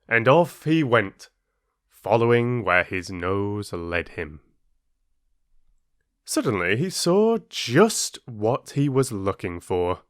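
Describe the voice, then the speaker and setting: Slow, expressive male storyteller. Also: lowish voice, no echo, studio quality. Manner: slow, expressive read-aloud. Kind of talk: audiobook reading of a fable.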